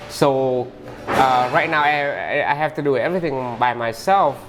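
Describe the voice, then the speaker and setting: A man who owns a pho restaurant, talking in an interview in halting English with many restarts. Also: medium pitch, lively intonation, noisy background. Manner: halting, restarts often, non-native English phrasing.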